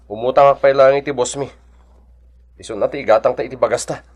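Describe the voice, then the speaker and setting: A man's voice: medium pitch, fairly even intonation, fast talker, darkish tone, natural voice. A man playing a practical, understanding working husband with an Ilocano accent in a radio drama, matter-of-fact. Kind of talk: Filipino radio drama acting.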